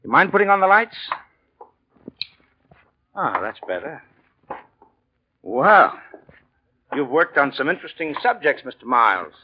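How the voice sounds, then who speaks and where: Persistent police detective, offhand, polite, lively intonation, 1940s radio drama, muffled old recording. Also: male voice, a little high, measured pace, long pauses, husky, heavily acted. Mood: probing, casual. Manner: offhand, hesitant probing, polite but insistent. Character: probing, casually persistent male homicide police lieutenant.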